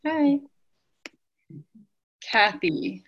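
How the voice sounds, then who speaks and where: A woman's voice: mid-range voice, animated, extremely slow, breathy edge, muffled recording. A woman hosting an online meeting, calling out names one by one and asking people to unmute. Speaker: meeting host. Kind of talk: calling roll on a video call. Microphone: video call.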